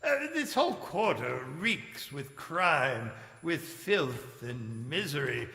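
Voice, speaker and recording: Frightened old miser, slow stammering delivery, bright strained voice, echoing hall, stage radio play. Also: male voice, mid-range voice, big pitch swings, creaky, exaggerated voice. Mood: bewildered, earnest. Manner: halting, stammering, earnest and theatrical.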